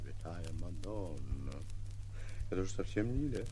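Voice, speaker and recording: An actor playing a pompous, excitable, boastful would-be lion hunter in a bombastic, theatrical 1940s radio comedy style, often muttering to himself. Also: male voice, sits low, a little slow, noisy background. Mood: puzzled, self-important. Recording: old 1940s radio recording.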